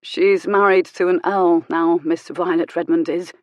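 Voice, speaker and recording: A woman voicing a sharp-tongued, dying elderly noblewoman with a wry, imperious manner. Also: fairly low pitch, steady tone, measured pace, dry recording, close to an everyday voice. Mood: tart relish. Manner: tart, teasing, grandly authoritative.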